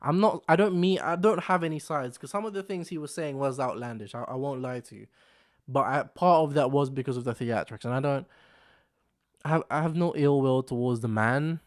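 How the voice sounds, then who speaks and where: A man's voice: mid-range voice, fairly quick. Rambling young man talking to camera. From Philippines. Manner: rambling, repeats himself, frequent "you know what I'm saying". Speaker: vlog host. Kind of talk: casual solo commentary. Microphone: camera microphone.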